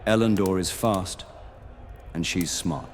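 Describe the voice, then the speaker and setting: A man playing a stern, dutiful paladin in a fantasy audio roleplay, speaking in a measured, grave manner. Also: deep voice, slight background noise. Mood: grave, briefing. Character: stern, dutiful male paladin of monster-hunting guild.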